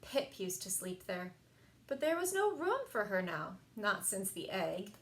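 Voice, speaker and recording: A woman reading picture books aloud for a children's story time, expressive and sing-song, with emphasized words. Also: medium pitch, unhurried. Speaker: library story time reader.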